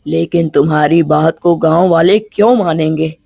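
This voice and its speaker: A woman's voice: low voice, normal speed, creaky, warm tone, slightly rough, voice pushed down, exaggerated voice. A woman voicing a stern, fearsome old male holy man in a Hindi storybook tale, grave and talking down to a child. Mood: skeptical. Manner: grave, challenging, speaks down to a child.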